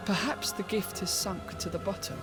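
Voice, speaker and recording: A woman playing a calm, inquisitive companion warrior in a video game, speaking in a thoughtful, plain, questioning way. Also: medium pitch, moderate pace, close to an everyday voice. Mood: curious, thoughtful. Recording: music under the voice.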